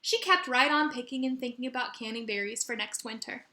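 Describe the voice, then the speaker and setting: A woman teacher reading a children's story aloud in an expressive, animated way with dramatic emphasis. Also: a little high, fairly quick. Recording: roomy echo.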